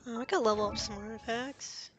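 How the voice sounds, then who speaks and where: A woman's voice: on the high side, fairly even intonation, a little fast, crowd noise. A woman streaming a game, chatting casually and thinking aloud in an absent-minded way. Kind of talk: casual gameplay stream chat. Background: game music.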